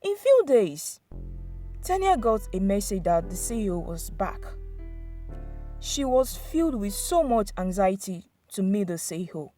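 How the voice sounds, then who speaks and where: A woman's voice: medium pitch, very animated, unhurried, dark tone, soft background music. A woman narrating a scripted dramatic folktale as a storyteller.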